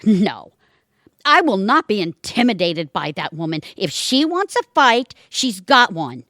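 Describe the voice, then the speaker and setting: Woman acting a warm but fierce family defender in an audio play, emphatic, big swings in pitch, close dry sound. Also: very animated, measured pace, no room sound. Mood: defiant, angry. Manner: dramatic, emphatic. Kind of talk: amateur audio theatre acting.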